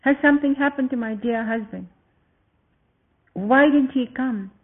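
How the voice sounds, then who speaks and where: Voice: sits high, a little slow, voice pushed up. A storyteller voicing an anxious young queen, raised and pleading. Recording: tinny phone-like lo-fi sound.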